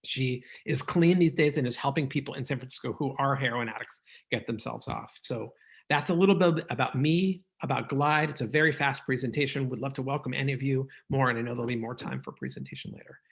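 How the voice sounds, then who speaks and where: Brief: middle-aged male rabbi, sermon rhythm, mid-pitched, earnest storyteller. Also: brisk, vocal fry, fairly bright tone, breathy edge. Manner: earnest, preacher-like cadence with Hebrew terms. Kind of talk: conference talk, sermon-like storytelling.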